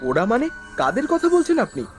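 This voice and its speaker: A man playing a polite, easily startled office worker in an animated horror story. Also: very high voice, normal speed, soft background music, exaggerated voice. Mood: frightened. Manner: earnest, startled, stammers when scared.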